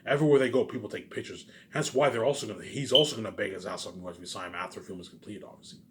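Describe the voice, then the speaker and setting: A man's voice: medium pitch, fairly bright tone. A man giving a rambling, informal monologue in a boastful, repetitive, emphatic way, swearing now and then. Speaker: vlogger.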